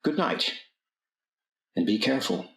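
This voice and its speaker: A man's voice: fairly low pitch, animated, a little slow, gravelly. Echoey male radio drama host. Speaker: host, writer and director. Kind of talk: scripted radio play narration, signing off.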